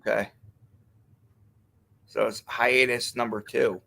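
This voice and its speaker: A man's voice: mid-range voice, little pitch movement, gravelly, pushed and bright. A man hosting a live stream, chatting in casual crude banter, easygoing and swearing freely. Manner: easygoing, swears a lot, quick to laugh.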